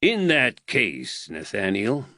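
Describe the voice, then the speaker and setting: Calm courteous gentleman, acted by a man, soft American drawl, clear diction, unhurried, storybook character. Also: on the low side, no room sound. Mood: quietly firm. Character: calm, courteous, slight bespectacled foreign husband.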